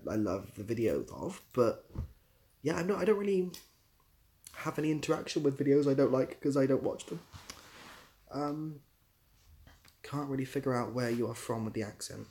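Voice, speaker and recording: A man's voice: mid-range voice, lively intonation, normal speed, pauses often, darkish tone. A young man with a southwest English accent, hosting a casual livestream in a rambling, self-deprecating way. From southwest England, near Bath. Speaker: livestream host and YouTuber.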